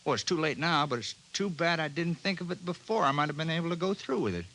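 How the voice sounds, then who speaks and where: A man's voice: on the high side, expressive, quick pace, bright, forceful tone, rough recording. A man playing a simple, good-natured village fisherman, plainspoken and rueful, in a 1950s radio drama. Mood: wistful.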